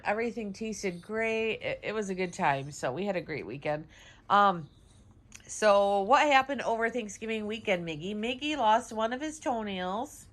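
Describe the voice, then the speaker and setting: A woman with a stuffy, nasal voice from congestion, chatting casually in a home vlog about her dogs, with many "um"s. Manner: high sing-song baby talk to her dogs. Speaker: vlogger, dog owner. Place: home, indoors.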